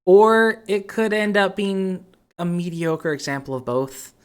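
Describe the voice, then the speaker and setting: A man's voice: high-pitched, lively intonation, measured pace, clean tone. A man hosting a podcast, chatting casually about games and thinking aloud in a rambling way. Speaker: podcast host.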